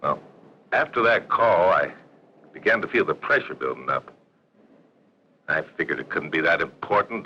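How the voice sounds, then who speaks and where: An actor playing a harried Air Force sergeant, explaining himself defensively in a 1960s training film. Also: male voice, sits low, bright, forceful tone, exaggerated voice. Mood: pressured, rationalizing. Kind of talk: scripted first-person reenactment in 1960s training film. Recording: dull, noisy 1960s film soundtrack.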